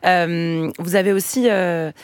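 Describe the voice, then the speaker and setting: Hesitant woman radio host, low-pitched. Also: fairly even intonation, slow pace, clean tone, no room sound. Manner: hesitant, many fillers. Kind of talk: radio interview.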